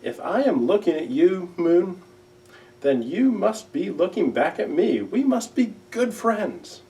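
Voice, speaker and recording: A man voicing a sorrowful, gentle, simple-hearted owl from a children's storybook, with earnest, drawn-out emotive delivery. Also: high voice, big pitch swings, recorded from a distance, pitched above the performer's own voice, full character voice. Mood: earnest, kindly.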